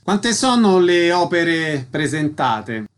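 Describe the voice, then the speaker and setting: Male radio interviewer, voice a bit high, formal announcements and concise questioning. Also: big pitch swings, slow, a little hiss or hum.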